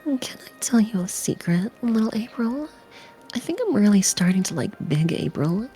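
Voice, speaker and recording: A woman voicing a smitten game character confiding secrets in a hushed, breathy half-whisper. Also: medium pitch, very animated, moderate pace, pitched above the performer's own voice, close to an everyday voice. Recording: music behind the voice.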